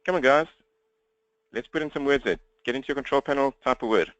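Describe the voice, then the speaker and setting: South African male trainer, rapid direct patter, mid pitch, dry room. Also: a bit bright, no echo, highs cut off. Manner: fast-talking, upbeat and direct. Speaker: webinar trainer. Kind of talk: live webinar presentation. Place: at home desk speaking to laptop. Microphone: laptop.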